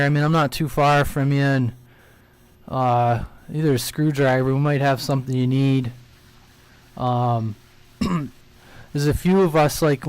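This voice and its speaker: Clear-voiced man in casual radio chat. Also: medium pitch, no echo. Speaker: CB radio operator, live stream host.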